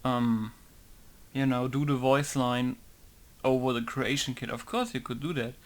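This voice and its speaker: A man's voice: medium pitch, a little slow. A man casually talking through a game modding tutorial in an informal, rambling way, swearing now and then. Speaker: game modder.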